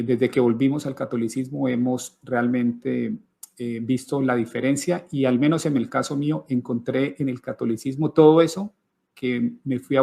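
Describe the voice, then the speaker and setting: A man's voice: mid-range voice, vocal fry, husky. A middle-aged man from Colombia giving his personal conversion testimony in an interview, thoughtful, with frequent hesitations and restarts.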